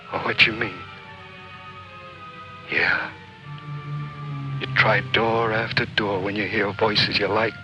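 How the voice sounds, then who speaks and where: An actor playing a bitter, world-weary soldier in a mid-century film drama, speaking intensely and bluntly. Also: male voice, lowish voice. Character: brooding, bitter male soldier Mac, set on a last mission. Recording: muffled old film soundtrack with music.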